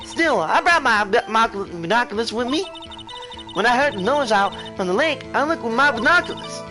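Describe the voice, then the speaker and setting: Game witness, female, played by a man: high-pitched, folksy, indignant, blustering, swoops around over music. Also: lively intonation, normal speed. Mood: defensive. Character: drawling, defensive young woman witness, indignant and flustered. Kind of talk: video game character voice-over.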